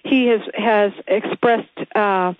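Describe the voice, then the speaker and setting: A woman's voice: mid-range voice, steady tone, slow pace, dry recording. A woman with a Texas accent, hosting a radio talk show and interviewing guests in a folksy, plain-spoken way. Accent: Texan. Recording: tinny phone-like radio audio.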